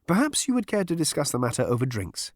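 A man's voice: fairly high pitch, huge pitch swings, quick pace, dry recording, studio quality, pitched above the performer's own voice. A man voicing a small, wizened green alien waiter in an audiobook, formal, polite and very distinctly enunciated, with patience stretched toward sarcasm. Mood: polite, patient. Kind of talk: audiobook character voice.

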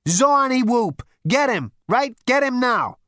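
A woman voicing a brash, swaggering man who demands things loudly. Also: fairly high pitch, animated, normal speed, dry recording, clean studio sound, voice pushed up, natural voice. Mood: demanding. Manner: loud, pushy, cocky. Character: brash, swaggering hipster male space adventurer.

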